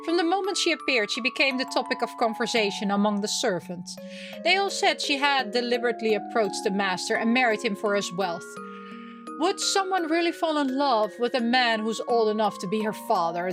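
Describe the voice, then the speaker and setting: A woman hosting a gaming video, reading game text aloud and reacting in a playful, expressive way as she chatters to herself. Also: a little high.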